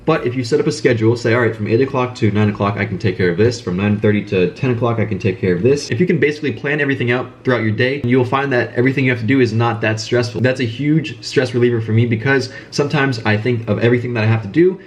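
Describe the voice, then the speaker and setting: Young man, nasal from a cold, quick talker, middle-pitched, earnest advice monologue. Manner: brisk, earnest, repeats phrases for emphasis. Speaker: channel host giving business advice. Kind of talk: casual advice monologue to camera.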